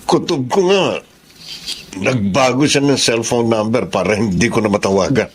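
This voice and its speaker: A man's voice: medium pitch, normal speed, husky, natural voice. A man acting an obsessive, wounded male rival in a Filipino radio drama, intense and melodramatic. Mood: anguished, desperate.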